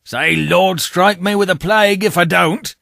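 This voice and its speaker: A man's voice: high voice, measured pace, creaky, bright, forceful tone, no echo, studio quality, pitched above the performer's own voice, full character voice. A man voicing a small, timid young boy in an audiobook, polite, earnest and a little faltering. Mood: polite, nervous. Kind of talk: dramatised audiobook character voice.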